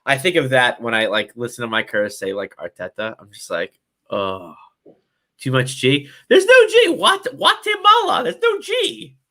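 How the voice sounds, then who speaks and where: A man's voice: mid-range voice, very animated, moderate pace, bright, forceful tone. Casting: young male co-host, easygoing, jokey, rambles. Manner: joking, rambling, laughs a lot. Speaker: podcast co-host. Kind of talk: casual chat.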